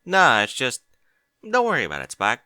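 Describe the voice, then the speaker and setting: A man's voice: mid-range voice, wildly swooping pitch, normal speed, pushed and bright, no room sound, clean studio sound, pitched above the performer's own voice, exaggerated voice. Man voicing a plain-spoken country pony mare. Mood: sheepish, tired. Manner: folksy, colloquial, plain-spoken. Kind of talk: audiobook character dialogue.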